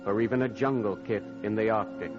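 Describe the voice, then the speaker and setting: A man's voice: lowish voice, fairly even intonation, brisk. A man narrating formally and dramatically as a 1940s radio announcer. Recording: muffled old radio recording with music.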